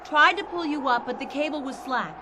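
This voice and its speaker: Plucky anxious heroine, high voice, earnest, candid, sci-fi radio drama, loud ambient noise behind. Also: female voice, animated, fairly quick. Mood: tense, recounting. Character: plucky, anxious human woman adventurer. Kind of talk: 1990s science fiction radio drama acting.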